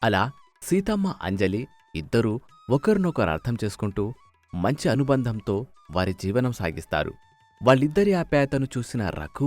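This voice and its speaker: A male narrator tells a scripted moral story with a very animated, swooping delivery. His mid-pitched voice sits over soft background music.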